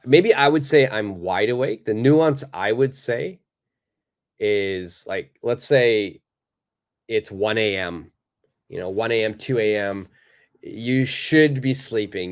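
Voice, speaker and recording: A male native English speaker teaching a live online English lesson, answering viewers' questions in a relaxed, chatty way with lots of fillers. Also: lowish voice. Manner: relaxed and conversational, many fillers, acts out little examples.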